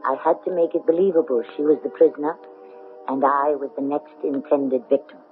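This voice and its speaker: Casting: female actor for a calculating villainess narrating a vintage radio mystery, low voice, thin broadcast sound. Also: fairly even intonation, a little fast, narrow, tinny audio, faint music behind, natural voice. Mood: tense, calculating. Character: scheming, calculating murderess confessing her plot. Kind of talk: first-person radio drama narration. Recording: thin band-limited 1970s radio broadcast.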